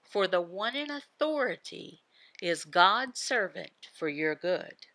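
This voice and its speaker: A woman teaches a devotional at a slow, deliberate pace. Her voice is bright and forceful with a gravelly creak, and the sound is close and dry. She speaks in short, halting phrases, posing questions and answering them herself. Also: on the low side, sing-song, no room sound, poor audio. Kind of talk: devotional teaching voicing a signed sermon.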